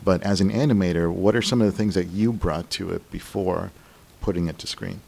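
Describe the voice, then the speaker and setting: A man's voice: low-pitched, steady tone, brisk, gravelly, a little mellow. A man co-hosting a show and interviewing a guest in a casual, conversational way.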